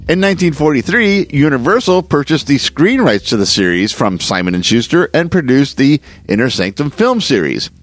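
A man's voice: on the high side, sing-song, moderate pace, few pauses. A man hosting a podcast, reading a scripted introduction. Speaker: podcast host. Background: music.

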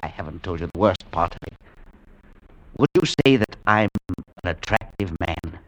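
An actor in a 1940s radio drama playing a nervous, tormented middle-aged scientist who speaks haltingly and repeats words. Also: male voice, low-pitched, lively intonation, no echo, poor audio. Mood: uneasy, insistent. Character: nervous, tormented male scientist, insisting an unseen presence haunts him. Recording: 1940s radio broadcast recording.

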